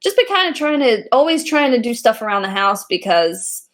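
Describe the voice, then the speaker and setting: A woman's voice: fairly high pitch, big pitch swings, normal speed. A woman co-hosting a podcast, chatting casually and laughing often. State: pregnant. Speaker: podcast co-host. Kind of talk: casual chat.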